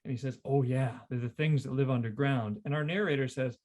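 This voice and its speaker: A man's voice: medium pitch, fairly even intonation, brisk, darkish tone. A man hosting a casual literary discussion, repeating phrases for emphasis as he talks. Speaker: podcast host.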